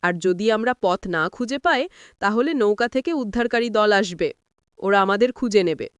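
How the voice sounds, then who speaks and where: A woman's voice: mid-range voice, expressive, normal speed, clear, resonant tone, no echo, lightly acted. A woman voicing a reassuring, knowledgeable older father-in-law in storybook dialogue. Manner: reassuring, explanatory.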